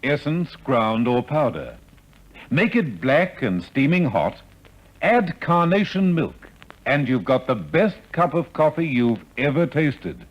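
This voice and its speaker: Slow, soft-selling man, dull vintage recording. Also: medium pitch, very animated. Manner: soft touch, careful enunciation and emphasis. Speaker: commercial announcer. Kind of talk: vintage radio commercial read. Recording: dull, lo-fi archival radio recording.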